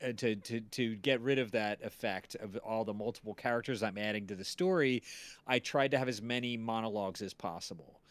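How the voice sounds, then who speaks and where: A man hosting a casual chat as an audio drama creator, explaining things in a halting, self-interrupting way. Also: on the low side, no echo. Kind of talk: casual chat about their own show.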